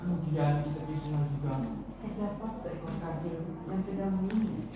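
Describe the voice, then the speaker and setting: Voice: mid-range voice, normal speed. Theatrical storyteller in a very echoey hall. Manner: theatrical, declamatory. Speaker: storytelling contestant. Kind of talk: dramatic story narration. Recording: echoey hall, distant mic, noisy.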